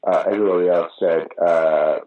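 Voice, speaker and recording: A man's voice: low-pitched, normal speed, dull audio. An older British man, a pantomime dame actor, telling stage stories in a casual interview. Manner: storytelling, animated anecdotes.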